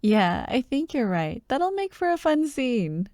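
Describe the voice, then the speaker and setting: A woman playing an excitable, teasing young childhood friend who loves Halloween, in an ASMR roleplay addressed to the listener. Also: high voice, unhurried, no echo, studio quality, full character voice. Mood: excited. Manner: playful, teasing, animated, with frequent giggles and mock drama.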